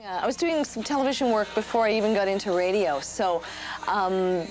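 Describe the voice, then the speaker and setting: Animated woman radio DJ chatting. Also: mid-range voice, normal speed, loud music behind the voice, poor audio. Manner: animated, talks with her hands. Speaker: radio disc jockey. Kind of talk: casual panel chat. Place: TV studio set.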